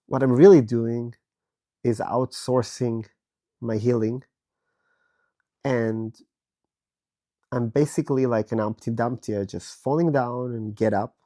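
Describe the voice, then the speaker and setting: A man in his thirties from Israel, hoarse and raspy from a virus, reflecting slowly and candidly from his bed as a podcast host. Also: medium pitch, fairly even intonation, dark tone. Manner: slow, thoughtful, candid, with many pauses. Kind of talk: personal reflective monologue. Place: bedroom, recording from bed.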